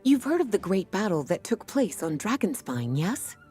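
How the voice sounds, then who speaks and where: A woman voicing a composed, knowledgeable librarian in a video game, explaining things in a measured, storytelling way. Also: mid-range voice, big pitch swings, warm tone, slightly rough. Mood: calm explaining. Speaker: librarian character. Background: game music.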